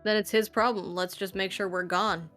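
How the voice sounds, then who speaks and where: A woman's voice: mid-range voice, steady tone, moderate pace, fairly bright tone, soft background music. A woman speaking casually as a player in a tabletop roleplaying game.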